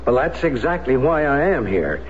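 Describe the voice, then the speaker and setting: An actor playing a concerned, reasonable American consular official in a radio drama, with a polite, measured manner. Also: male voice, medium pitch, sing-song, husky. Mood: courteous concern. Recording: dull old radio recording, faint noise.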